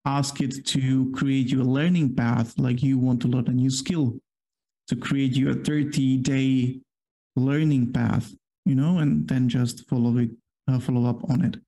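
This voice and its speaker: A man's voice: mid-range voice, little pitch movement, a little slow, mellow, poor audio. A man with a Polish accent, a non-native English speaker, co-hosting a casual work podcast and explaining at length with many fillers. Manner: rambling, filler-heavy explaining. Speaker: podcast co-host. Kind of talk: casual chat.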